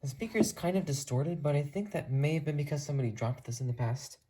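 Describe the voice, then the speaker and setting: Casting: earnest, rambling enthusiast for a casual collection tour, medium pitch, slightly noisy homemade recording. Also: expressive, quick pace. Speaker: hobbyist video creator showing a radio collection.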